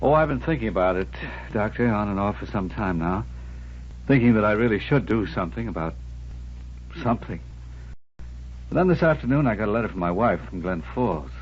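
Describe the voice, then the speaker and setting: An actor playing a troubled, indecisive doctor in a hesitant, brooding 1940s radio drama style. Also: male voice, fairly low pitch, animated, brisk, long pauses, natural voice. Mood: anguished, hesitant. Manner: hesitant, brooding, self-deprecating. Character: troubled doctor, brooding, indecisive husband and would-be psychiatric patient. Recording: dull, hissy old radio broadcast, humming.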